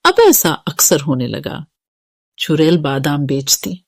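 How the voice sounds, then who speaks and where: A woman's voice: deep voice, big pitch swings, slow pace, no echo, clean studio sound. A woman narrating a scripted children's story.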